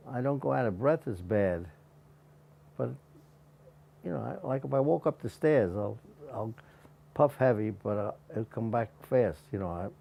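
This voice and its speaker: Gravelly ninety-one-year-old man. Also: medium pitch, lo-fi sound, slight background noise. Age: 90s. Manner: plain, halting, with restarts and small laughs. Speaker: interviewee, patient. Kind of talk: recounting his own story on camera.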